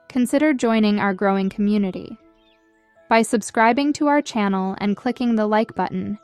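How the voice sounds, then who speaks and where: A woman narrating a scripted guided meditation in a soothing, measured and gentle manner. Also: medium pitch, smooth, dry recording. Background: background music.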